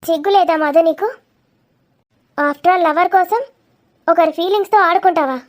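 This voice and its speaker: A woman's voice: extremely high pitch, moderate pace. A woman voicing a feisty, sarcastic young woman in a confrontational cartoon comedy. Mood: mocking, scolding. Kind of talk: dramatic cartoon comedy dialogue.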